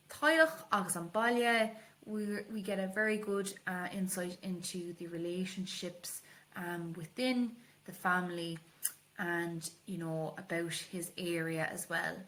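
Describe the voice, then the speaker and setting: An Irish woman speaks as a teacher walking students through exam revision. Her voice is bright and forward, sitting a little low for a woman. She explains informally, feeling her way through the points and dropping in Irish-language terms. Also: rough recording.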